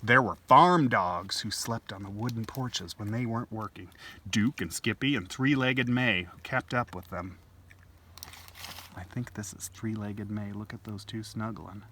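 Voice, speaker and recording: A man reads a picture book aloud at a slow, gentle pace, pausing for little asides to a child. His voice is bright and clear-cut, picked up from a distance with faint noise. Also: lowish voice. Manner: gentle, unhurried, explaining things to a small child. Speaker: library storytime host. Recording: distant home-video mic with faint noise.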